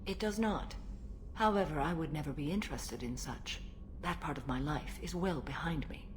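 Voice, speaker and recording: An actress as an ancient alien warrior woman in a video game, serene yet unyielding. Her voice is on the low side, formal and measured, with faint noise behind it. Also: lightly acted. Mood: stern, composed. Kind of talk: video game dialogue acting.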